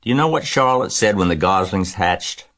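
A man's voice: low-pitched, animated, normal speed, fairly bright tone, voice pushed up. A man voicing an earnest, chatty young farm girl in a storybook, in a matter-of-fact manner. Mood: thoughtful.

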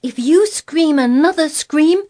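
A furious, contrary little girl from a storybook, acted out by a woman narrator. Her high voice scolds and commands with stubborn force, every phrase fierce and bossy. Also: moderate pace, darkish tone, close to an everyday voice. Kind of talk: dramatised storybook reading.

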